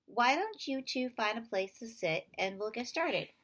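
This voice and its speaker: A woman's voice: a little high, expressive, unhurried, a bit bright, slight background noise, pitched above the performer's own voice. A woman voicing a polite, welcoming woman who leads a children's support group, in a children's novel read aloud.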